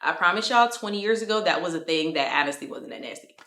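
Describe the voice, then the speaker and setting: Young woman, self-growth vlogger, slightly low voice, roomy echo, talks right to her viewers. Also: animated, quick pace, sharp tone. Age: 20s. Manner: direct, chatty, addresses viewers as y'all. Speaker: self-improvement YouTuber. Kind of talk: motivational advice to camera.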